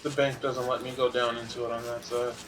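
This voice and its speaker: A man's voice: medium pitch, flat delivery, fairly quick, background noise. A man streaming a video game, giving informal commentary and thinking aloud as he plays. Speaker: gaming streamer. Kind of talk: casual Let's Play commentary, sometimes reading game text.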